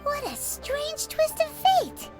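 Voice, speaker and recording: A woman's voice: very high-pitched, wildly swooping pitch, slow pace, full character voice. A woman voicing a tiny, chatty and cheeky female fairy companion in a video game, who talks in a playful, teasing way. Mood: surprised. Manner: playful, teasing, refers to herself by name. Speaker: tour guide companion. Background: loud game music.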